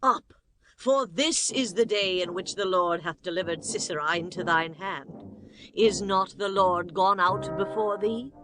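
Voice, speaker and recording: A woman's voice: mid-range voice, unhurried, close to an everyday voice. A woman playing a commanding biblical woman in a dramatized scripture reading, solemn and declamatory with archaic phrasing. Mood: urgent. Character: commanding biblical prophetess and tent-dwelling woman.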